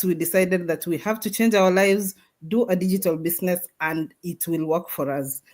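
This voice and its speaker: A woman's voice: on the low side, a little mellow. A woman guest on a video call, speaking earnestly and emphatically, like giving testimony. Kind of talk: motivational testimony.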